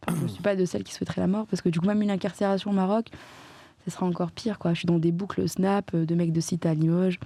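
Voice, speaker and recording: A woman's voice: lowish voice, little pitch movement, fast talker, mellow, no room sound. A French woman talking as a guest in a casual chat, earnest and emphatic as she tells what happened to her. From France.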